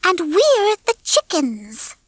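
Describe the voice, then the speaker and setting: A woman's voice: very high-pitched, huge pitch swings, slow, dry recording, studio quality, voice pushed up, exaggerated voice. A woman voicing a sweet, dim, eager hen in a storybook, naive and earnest. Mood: proud.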